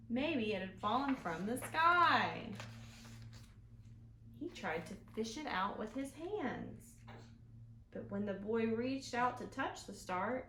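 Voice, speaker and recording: Lady doing kids storytime, slow and super expressive, echoey room w some noise. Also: fairly high pitch. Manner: expressive, explains and asks questions to children. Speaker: children's librarian, storytime host. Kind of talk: read-aloud storytime for young children. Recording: roomy echo, some background noise.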